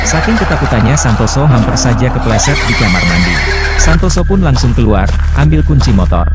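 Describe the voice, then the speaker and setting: A man narrates a spooky tale in a voice that swings up and down. He talks at a middle pitch, and music plays clearly behind him. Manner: lively, rising and falling storytelling. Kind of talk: storytelling horror narration.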